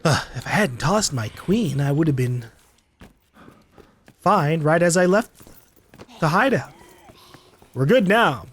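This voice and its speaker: A male gaming host talks casually and playfully over gameplay. His voice is high for a man and swings animatedly, with noise in the background. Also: expressive, takes it slowly, pauses often, a bit bright. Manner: playful, joking. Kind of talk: casual let's play commentary.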